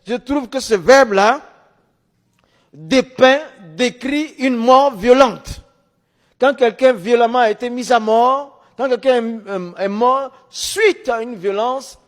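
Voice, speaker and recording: The long reverb of a hall surrounds a man with a high-pitched voice. He is a non-native French speaker, middle-aged, delivering a religious testimony like a storyteller. His phrases come in short, emphatic bursts that he often repeats. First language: a language other than French, Arabic or English.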